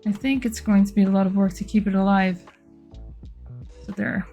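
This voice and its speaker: A woman's voice: mid-range voice, steady tone, normal speed, mellow, soft background music. A woman with a Dutch accent, chatting casually on a livestream while she works, reading chat aloud with frequent laughs and restarts. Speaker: live streamer.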